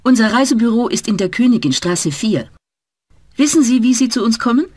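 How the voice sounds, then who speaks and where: A businesslike travel-agency clerk. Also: female voice, fairly high pitch, animated, fairly quick, darkish tone, slightly rough, dry recording, studio quality. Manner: polite and businesslike. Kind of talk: scripted language-course dialogue.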